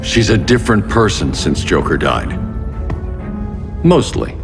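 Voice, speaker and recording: A stern vigilante leader with a low, grave voice that gives short, commanding orders. A long reverb trails his lines, and music plays under them in the game cutscene. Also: sing-song, measured pace, gravelly, exaggerated voice.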